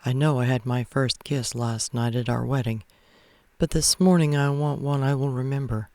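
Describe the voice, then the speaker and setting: A man's voice: mid-range voice, fairly even intonation, normal speed, mellow, no room sound. A man narrating a first-person romance story as a read-aloud. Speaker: audiobook-style narrator.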